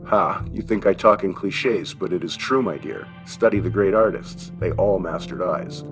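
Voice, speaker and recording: A man's voice: low-pitched, normal speed, faint music behind. A man voicing a kindly, wry old German shopkeeper in an audiobook story, with a thin accented voice and avuncular questions. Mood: wry, encouraging. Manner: avuncular, chuckling, rhetorical questions.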